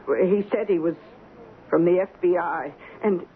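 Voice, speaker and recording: A woman's voice: fairly low pitch, unhurried, close to an everyday voice. An actress playing a nervous, evasive landlady who stammers and protests, in a radio drama. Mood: scared. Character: frightened, flustered landlady, evasive witness to a murder. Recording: tinny, noisy old radio broadcast.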